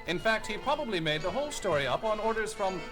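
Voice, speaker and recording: Man acting alien diplomat, imperious, irritable bluster, lively pitch swings, science fiction audio drama. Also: fast talker, music in the background. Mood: baffled, dismissive. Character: haughty, irritable alien male envoy.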